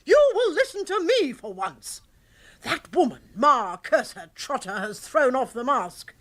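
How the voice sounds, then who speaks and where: Bossy upper-class English aunt, high-pitched. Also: female voice, sing-song, full character voice. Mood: indignant. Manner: brisk, forceful, melodramatic. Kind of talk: radio comedy drama acting.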